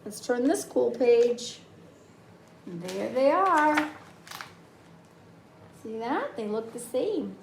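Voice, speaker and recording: Lady reading a picture book to kids, really animated high voice, kinda echoey room. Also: extremely slow, creaky, pauses often. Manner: expressive, addressing young listeners with questions. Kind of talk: read-aloud children's story with asides to listeners. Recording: roomy echo, faint background noise.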